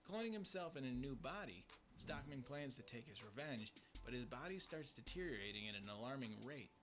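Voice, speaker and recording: A man's voice: medium pitch, expressive, a little fast. A man reading scripted countdown narration as the narrator of a list video. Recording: music bed under the voice. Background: background music.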